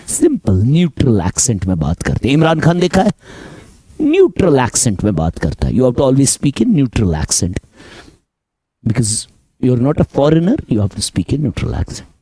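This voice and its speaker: A man with a Kashmiri accent teaching reasoning in class, going over each point slowly and again, switching between Hindi and English and calling his students beta. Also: medium pitch, dark tone, husky, no room sound. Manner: slow, repetitive, mixes Hindi and English, addresses students as beta. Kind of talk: classroom lecture.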